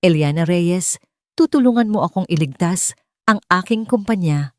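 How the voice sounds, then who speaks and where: A woman's voice: lowish voice, expressive, moderate pace, dry recording, natural voice. Audiobook role: grave, insistent tycoon read by a woman, desperate yet commanding, dry sound. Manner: serious, weighty, insistent. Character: desperate, commanding male millionaire boss.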